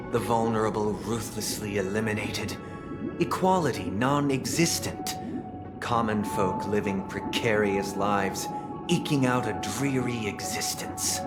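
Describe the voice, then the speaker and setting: A man voicing a solemn, eloquent young leader in a video game, speaking in formal, measured oratory. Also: on the low side. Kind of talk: dramatic video game dialogue. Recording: loud music under the voice. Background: game music.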